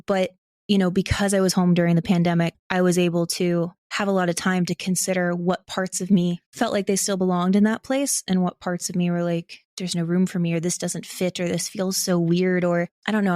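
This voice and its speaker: A woman's voice: on the low side, darkish tone, no echo, studio quality. A young American woman talking casually and reflectively as an interview guest, rambling a little. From small town in Ohio, United States. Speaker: podcast guest, audio drama writer and voice actor.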